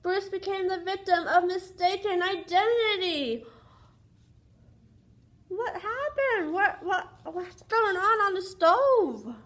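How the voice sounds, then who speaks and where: A woman's voice: extremely high pitch, lively intonation, slow pace, fairly bright tone. A woman reading a picture book aloud to young children as a teacher would, sing-song and often prompting them with questions.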